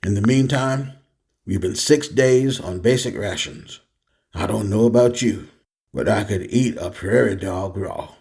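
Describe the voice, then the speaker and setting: An actor playing a cold, dutiful army sergeant in a science fiction radio play, speaking with curt authority. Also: male voice, on the low side, a little slow, vocal fry, a little mellow. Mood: measured command. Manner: curt, authoritative military delivery.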